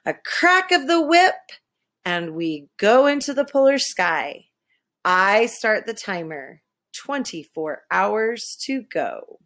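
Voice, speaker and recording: Animated, unhurried woman reading a storybook. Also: medium pitch. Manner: animated, dramatic, with hushed asides. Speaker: storybook reader. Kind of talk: expressive read-aloud of a children's story.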